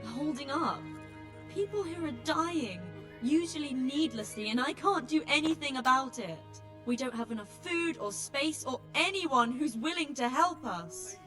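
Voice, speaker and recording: An actress playing a weary, blunt healer who tends refugees, with dry exasperation, in a dramatic video game. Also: female voice, high-pitched, expressive, unhurried, a bit bright, faint music behind. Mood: exasperated, upset. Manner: exasperated, dry, plain-spoken.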